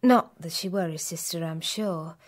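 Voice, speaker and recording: An actress playing a nervous, apologetic young housemaid in a radio play. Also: female voice, lowish voice, normal speed, lightly acted.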